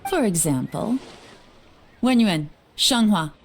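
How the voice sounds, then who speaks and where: An actress playing a confident, composed spymaster in a video game, speaking with assured, instructive command. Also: female voice, medium pitch, lively intonation, slow pace, a little hiss or hum. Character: poised adult woman spymaster, confident, cool, commanding. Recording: music behind the voice.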